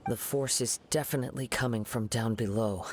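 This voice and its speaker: An actor playing a calm, poetic young wandering swordsman in a video game, speaking in a measured, thoughtful way. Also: medium pitch, slight background noise, natural voice. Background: game music.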